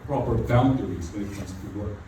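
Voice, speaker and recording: A man's voice: on the low side, a little fast. A middle-aged man whose first language is not English, preaching a sermon as pastor and repeating phrases with frequent "you know" fillers. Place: church hall. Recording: very echoey hall, far from mic, background noise.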